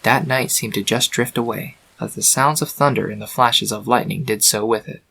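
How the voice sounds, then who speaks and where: A man's voice: on the low side, normal speed, vocal fry, bright, forceful tone, husky. A man reading scripted storybook narration for a fan fiction.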